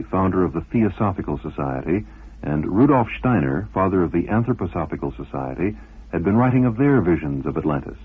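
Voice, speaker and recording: Deep, husky male radio documentary narrator. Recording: muffled vintage radio broadcast.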